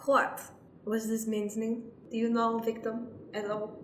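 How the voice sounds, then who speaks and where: A woman playing an earnest, literal-minded foreign lawyer with halting, formal phrasing in an improvised comedy radio play. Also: on the high side, monotone, slight background noise, natural voice. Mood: earnest, businesslike. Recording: roomy echo, faint music.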